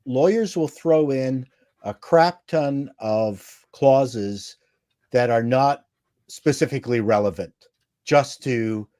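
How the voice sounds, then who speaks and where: A man's voice: medium pitch, takes it slowly, mellow, no room sound. Man chatting casually, very dry sound. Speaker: panelist. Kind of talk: casual chat, telling anecdotes.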